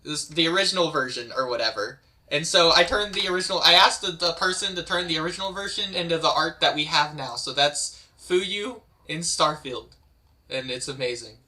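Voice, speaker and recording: A man's voice: on the high side, a little fast, bright, forceful tone. A man hosting a casual online group chat, rambling and repeating words as he searches for what to say. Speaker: host and writer of an amateur script table read.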